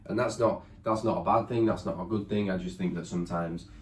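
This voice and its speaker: A man's voice: low voice, steady tone, brisk. A young man from northern England chatting casually and thoughtfully, often hedging and searching for words. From northern England, near Huddersfield. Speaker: vlogger's partner. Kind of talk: casual chat. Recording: echoey room with faint ambience.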